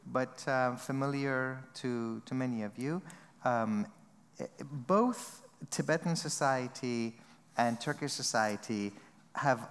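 A middle-aged man leads a panel from a chair on a large theater stage. He talks very slowly and deliberately at a middling pitch, and his words trail into the long reverb of the hall. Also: clear, resonant tone. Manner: slow, measured, with soft laughs. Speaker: panel moderator.

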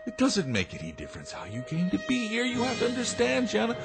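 An actor playing a tender, earnest older inventor father in a radio drama, placating and halting. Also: high voice, wildly swooping pitch, creaky, faint music behind, exaggerated voice. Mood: earnest pleading.

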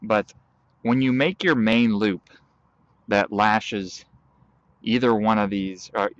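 A young man outdoors in a field, calmly walking through a hands-on tutorial step by step while he works with his hands. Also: on the low side, slow, no room sound, muffled recording. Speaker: bushcraft instructor.

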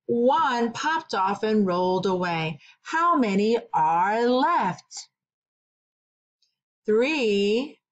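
Very slow-paced woman teaching small children. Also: on the high side, expressive, dull audio. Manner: deliberate, simple phrasing with repeated questions for young children. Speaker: kindergarten teacher. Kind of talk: children's read-aloud with counting lesson.

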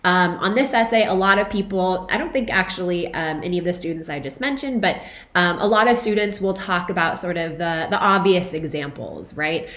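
Hoarse young woman giving class feedback. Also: on the low side, normal speed, fairly bright tone, roomy sound. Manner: conversational, frequent "right?" and "um" fillers. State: hoarse from being sick. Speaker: instructor. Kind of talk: recorded lecture feedback to students. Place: small room at home, indoors. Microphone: webcam, video call framing.

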